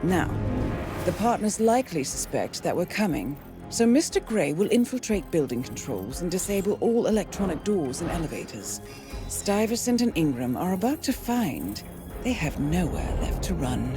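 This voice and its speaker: An actress playing a composed female handler giving a calm, precise mission briefing in a video game cutscene. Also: on the low side, moderate pace. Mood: steady briefing. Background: game score music.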